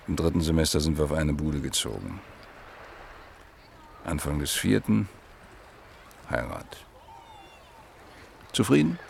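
A man's voice: very deep voice, vocal fry, a little hiss or hum, heavily acted. An actor playing a married economist in his mid-thirties, wry and evasive, speaking in clipped phrases in a radio play. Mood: matter-of-fact, guarded. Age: middle-aged.

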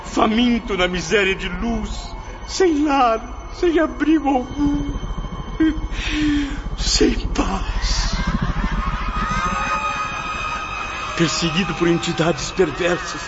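A man's voice: high voice, lively intonation, takes it slowly, over music. An actor playing the anguished ghost of a proud man who took his own life, pleading and crying out in a radio drama. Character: tormented male suicide's ghost, proud and desperate. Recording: muffled lo-fi track with noise.

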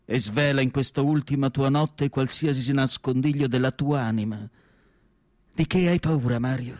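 An actor playing a reflective, melancholic asylum doctor writing his diary, in a solemn, measured radio drama monologue with deliberate pauses. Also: medium pitch, darkish tone, dry recording, clean studio sound, natural voice. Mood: intimate, melancholic.

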